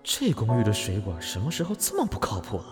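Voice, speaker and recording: A man playing a tired, easygoing young film actor in an audio drama, speaking softly and casually. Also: on the low side, huge pitch swings, fast talker. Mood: calm, tired. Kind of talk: Chinese audio drama acting. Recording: music behind the voice.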